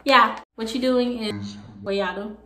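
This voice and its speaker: A woman's voice: a little high, fairly even intonation, slow, creaky, bright, forceful tone, slight background noise. A young woman from Jamaica with a light Jamaican accent, chatting to the camera on her vlog with sassy asides, sitting on a couch at home. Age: young adult. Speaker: vlogger. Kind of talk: casual chat to camera. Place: small room at home, on a couch. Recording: echoey room.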